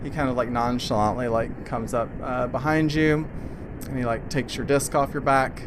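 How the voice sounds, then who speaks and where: A man game master narrating an improvised tabletop adventure in a casual, halting, descriptive way. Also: medium pitch, fairly even intonation. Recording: clear background noise.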